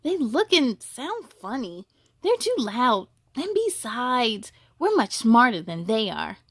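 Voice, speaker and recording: A woman's voice: fairly high pitch, very animated, slow, no echo, studio quality, exaggerated voice. A woman voicing a grumbling, prejudiced male orange square in a storybook, smug, dismissive and complaining.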